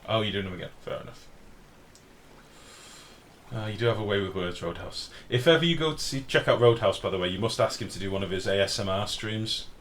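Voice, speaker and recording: A man with a deep, booming voice giving casual, sweary live game commentary as a streamer and chatting with viewers. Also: a little fast, a bit bright. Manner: informal, sweary, reads and answers chat. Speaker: game livestreamer. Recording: clear background noise.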